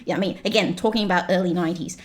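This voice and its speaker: A woman's voice: on the low side, fairly even intonation, very fast. Chatty woman with a mixed accent. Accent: mixed Australian, British and American. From Malaysia. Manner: chatty, laughing, frequent fillers and restarts. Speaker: podcast guest. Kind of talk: casual chat.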